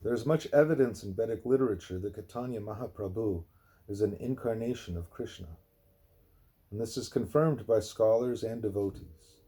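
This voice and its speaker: A man reading a spiritual book aloud in a measured, explanatory way, with a husky voice. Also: lowish voice, mellow. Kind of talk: read-aloud scripted narration.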